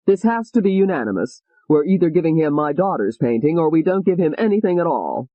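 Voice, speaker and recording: A woman's voice: deep voice, big pitch swings, brisk, no echo, narrow, tinny audio. A woman voicing a father who insists firmly. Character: firm, insistent father on a committee.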